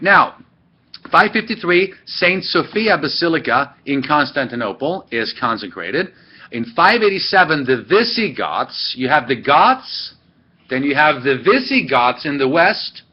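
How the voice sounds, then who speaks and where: A man's voice: fairly high pitch, slight background noise. A man lecturing a class, rambling and correcting himself as he goes, recorded by a webcam. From Sweden. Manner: rambling, digressive, self-correcting. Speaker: teacher. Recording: dull lo-fi webcam audio.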